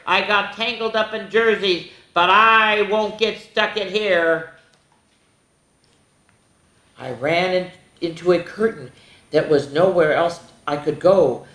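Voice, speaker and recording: A woman voicing a proud, excitable turkey who tells its tale in dramatic picture-book rhyme. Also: mid-range voice, takes it slowly. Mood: excited, dramatic. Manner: boastful, dramatic rhyming storytelling. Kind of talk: rhyming picture-book read-aloud. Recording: roomy, echoing room sound.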